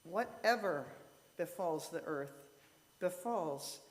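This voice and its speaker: Slow-spoken woman reading in a reverberant hall. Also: lowish voice, expressive. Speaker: worship service reader. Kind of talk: solemn reading at a church service. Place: church sanctuary, heard from the room. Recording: echoey hall with long reverb.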